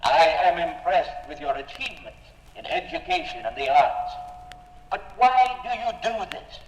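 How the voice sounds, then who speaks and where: A curious male visitor from space in an old 1950s radio drama. His high voice questions with formal detachment. It rings through a long, echoing reverb with faint hiss. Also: sing-song, normal speed, dull audio. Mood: puzzled, probing.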